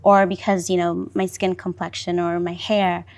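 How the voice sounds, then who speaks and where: A woman's voice: fairly low pitch, level tone, moderate pace, a little mellow. A woman born in El Salvador and raised in North Carolina, talking on a panel in a chatty, casual way. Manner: chatty, lots of "like" and "you know". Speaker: panelist, business founder.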